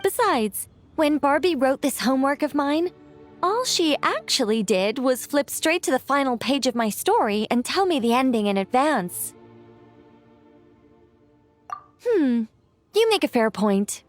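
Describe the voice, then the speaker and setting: A whimsical female mage from a video game, speaks in a high, youthful voice. She is composed and musing, dodging questions playfully and taking her time. Soft music plays behind her. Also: animated, moderate pace. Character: whimsical, composed female mage, once an angel, young-sounding. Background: background music.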